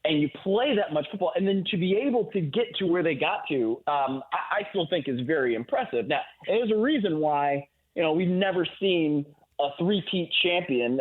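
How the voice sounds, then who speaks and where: Male sports analyst, guest by phone, fairly high pitch, conversational and explanatory, narrow tinny line. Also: animated, brisk. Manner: conversational, long explanatory answers peppered with "you know" and "right?". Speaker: guest football analyst on a sports radio show. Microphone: phone or remote call-in line.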